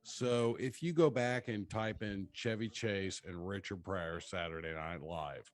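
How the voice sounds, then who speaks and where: A man on a casual horror panel, making earnest points in measured commentary. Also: low-pitched.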